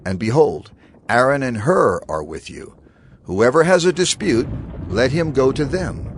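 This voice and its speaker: An actor playing an authoritative prophet and leader, declamatory, in a dramatized scripture reading. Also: male voice, mid-range voice, expressive, measured pace, a little hiss or hum. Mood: instructing. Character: Moses, earnest authoritative male prophet and leader.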